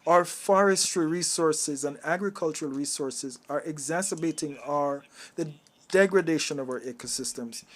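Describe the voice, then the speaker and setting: A man with a fairly high-pitched voice works as a simultaneous interpreter of a formal address. His phrasing is halting, coming in uneven bursts as he renders the speech live.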